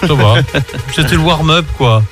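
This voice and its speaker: A man's voice: a little high, measured pace. A man hosts a live local radio show from a studio. He talks casually, laughs often and leans on fillers. Faint music plays under his voice. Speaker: radio host.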